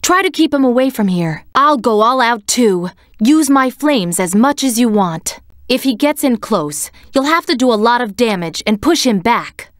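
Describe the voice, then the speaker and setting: A woman voicing a serious, composed young female cat in a video game, speaking in a measured, commanding way. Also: fairly high pitch, lively intonation, dry recording, studio quality, close to an everyday voice. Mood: composed, instructive. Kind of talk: scripted game voice acting, tactical hints.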